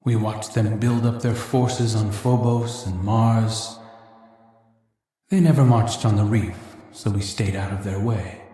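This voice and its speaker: Weary regretful noble guy for a game, lowish mellow voice, slow n thoughtful, really echoey. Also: steady tone. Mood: rueful, pensive. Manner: measured, confiding, rueful. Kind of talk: reflective video game monologue.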